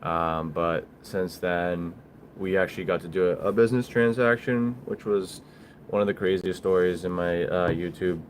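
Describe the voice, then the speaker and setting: A man hosting a casual livestream, talking in a halting, rambling, informal way as he answers viewers. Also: low-pitched, a little hiss or hum. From Bulgaria. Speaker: livestream host, small business owner.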